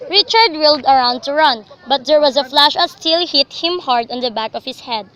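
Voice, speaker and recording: A woman's voice: high-pitched, expressive, normal speed, pushed and bright, dull audio. A young woman narrating a scripted story for a radio drama.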